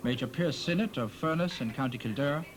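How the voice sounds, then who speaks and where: A man's voice: medium pitch, fairly quick. A man narrating a documentary in a dry, wry, polished scripted delivery. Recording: narration over music.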